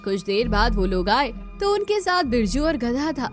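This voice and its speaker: A woman tells a cartoon story in a very high voice. The delivery is scripted storytelling, with music playing underneath. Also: big pitch swings, normal speed.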